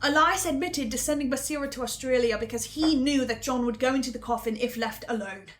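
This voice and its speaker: High-pitched woman giving a pensive recap. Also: a little fast, fairly bright tone. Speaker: host of a fan recap series. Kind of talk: dramatic investigative recap monologue. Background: music.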